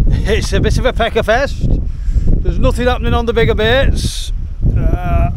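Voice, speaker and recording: A man hosts a casual outdoor fishing vlog, chatting to viewers in a jokey, playful, slangy way. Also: high-pitched. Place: outdoors on a sea breakwater at night. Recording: noisy outdoor recording on a waterside.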